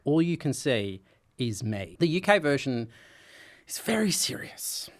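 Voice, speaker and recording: A man chatting on a podcast. He talks fast and staccato and tells stories with animation. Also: mid-range voice, big pitch swings. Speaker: podcast guest, quiz show host. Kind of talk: casual chat.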